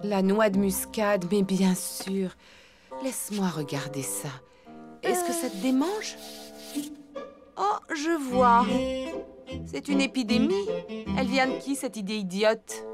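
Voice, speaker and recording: A proud cartoon elephant mother with a highish, very animated voice. Her delivery is theatrical and motherly, over loud music. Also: takes it slowly, pauses often. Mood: concerned, then stern. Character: cheerful, proud elephant mother, keen amateur cook. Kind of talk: children's cartoon dubbing.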